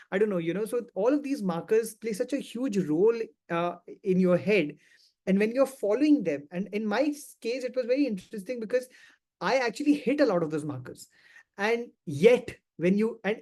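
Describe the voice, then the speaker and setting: A man in his thirties with a fairly high voice, speaking as a panel guest. He tells his own story in an earnest, reflective way. He often restarts sentences and repeats himself as he works through his thoughts. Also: a little mellow. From India. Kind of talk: candid personal storytelling.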